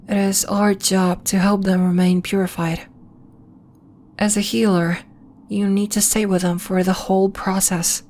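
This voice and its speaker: A woman playing a gentle, earnest alien healer from the moon in an ASMR roleplay, speaking in a soft, measured, formal and reassuring way. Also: medium pitch, a little hiss or hum, lightly acted. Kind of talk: ASMR roleplay, scripted character acting.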